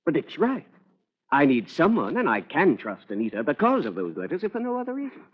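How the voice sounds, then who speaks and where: An actor playing a sardonic gentleman rogue in an old radio drama, drawling and facetious. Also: fairly high pitch, wildly swooping pitch, a little fast, husky, heavily acted. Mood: flippant. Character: flippant, sardonic English gentleman on the run. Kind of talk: 1940s radio drama acting. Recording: dull, lo-fi old radio recording.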